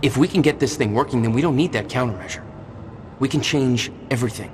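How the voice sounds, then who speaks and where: A man's voice: mid-range voice, fast talker, a little hiss or hum, lightly acted. An actor playing an impulsive, determined American man, perhaps in his thirties, in a naturalistic video game performance. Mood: urgent, hopeful. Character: impulsive, determined young man, hero of time-travel thriller. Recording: reverberant hall, music behind.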